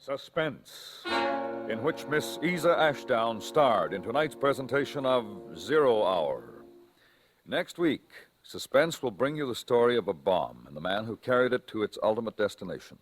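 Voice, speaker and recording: A man announcing in formal, measured 1950s radio style, reading scripted credits. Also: medium pitch, sing-song. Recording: old radio recording, loud music behind.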